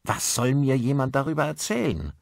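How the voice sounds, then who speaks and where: A man's voice: medium pitch, animated, normal speed, vocal fry, a little mellow, no room sound, studio quality, voice pushed up. A man voicing a bewildered husband who speaks in an urgent, questioning way in an audiobook.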